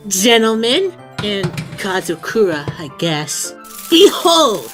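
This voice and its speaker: A woman's voice: a little high, lively intonation, unhurried, fairly bright tone, faint music behind. A woman playing a small, moody, proud teenage schoolboy in an audio drama, brusque and defensive.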